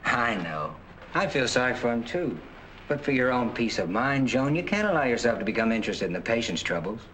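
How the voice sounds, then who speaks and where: An actor playing a young doctor pressing his fiancée in a 1940s film, coaxing and brisk. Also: mid-range voice, sing-song, a bit bright. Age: young adult. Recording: faint hiss on old film soundtrack.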